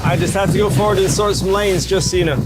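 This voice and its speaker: A man's voice: sits high, fast talker, loud music behind the voice. A man who makes sailing vlogs, speaking informally and easily as he chats and narrates about life at sea. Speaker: sailing vlogger. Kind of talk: casual vlog chat and narration.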